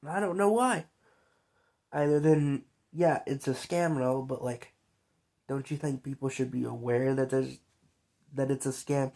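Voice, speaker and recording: A man's voice: medium pitch, unhurried, vocal fry, long pauses, mellow. A young man who is sick and coughs now and then, talking in a loose, rambling, slangy vlog monologue full of fillers. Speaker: vlogger.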